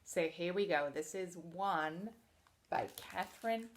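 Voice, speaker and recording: A woman teacher reading a storybook aloud to children expressively, pausing to explain things in simple words. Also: lowish voice, slight background noise.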